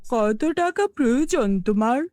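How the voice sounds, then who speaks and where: Woman acting a haughty, animated lady landlord. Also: on the high side, a little slow, no room sound, pitched below the performer's own voice. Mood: scornful. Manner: condescending, incredulous. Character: haughty, scornful wealthy female village landlord. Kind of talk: cartoon fairy-tale voice acting.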